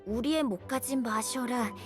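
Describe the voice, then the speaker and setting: Woman voicing a high-pitched, earnest young boy scout. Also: measured pace, natural voice. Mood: earnest, formal reading. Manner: polite, hesitant, earnest. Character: young, earnest, timid boy surveyor of a knight expedition. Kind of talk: scripted game dialogue, reading a letter aloud. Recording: music behind the voice. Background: music.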